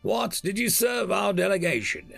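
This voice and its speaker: A man's voice: high voice, lively intonation, moderate pace, creaky, a bit bright, no room sound, faint music behind, voice pushed up, exaggerated voice. A man voicing a blunt, sardonic male military general who fires off curt questions.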